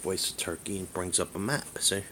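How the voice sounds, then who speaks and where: A man giving a casual hands-on demonstration as a hobbyist vlogger, halting and rambling as he thinks aloud. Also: deep voice, fairly even intonation, background noise.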